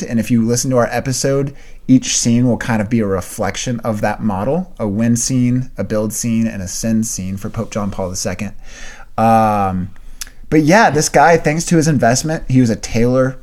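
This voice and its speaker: A young man co-hosting a podcast, talking in an earnest, casual way and clearing his throat now and then. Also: mid-range voice, a little mellow. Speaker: podcast co-host and writer. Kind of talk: casual chat.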